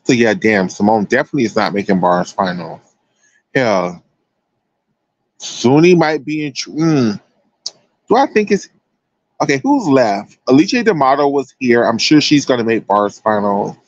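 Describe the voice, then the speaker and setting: A man's voice: medium pitch, animated, moderate pace, long pauses, no echo, lo-fi sound. An American man giving casual, chatty sports commentary as a livestream host, emphatic and slangy.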